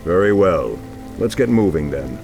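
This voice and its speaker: A noble elven archdruid with a low, widely swinging voice. He is grave and commanding, in the manner of a fantasy game cutscene, with music clearly behind him. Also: very animated, exaggerated voice. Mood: resolute. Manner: solemn, measured, authoritative.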